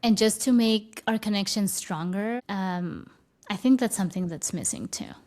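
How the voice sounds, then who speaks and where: A woman's voice: medium pitch, moderate pace, darkish tone. A woman whose first language is Spanish, speaking English as a guest in a conversational interview, thoughtful and often restarting her phrases. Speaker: guest, spiritual teacher.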